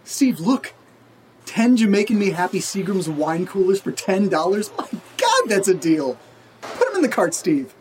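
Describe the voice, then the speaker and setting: An erratic, oddly chipper male housemate in a horror audio drama. His delivery is very lively in pitch, childlike and breezy, then abruptly insistent. There is faint noise behind him. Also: normal speed. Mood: giddy. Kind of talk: naturalistic audio drama dialogue.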